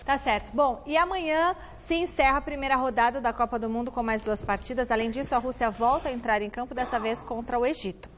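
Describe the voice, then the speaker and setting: A woman anchor speaks quickly in a slightly high voice, linking news reports in a brisk, conversational way. Her voice carries a lingering studio reverb with faint background hum. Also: steady tone.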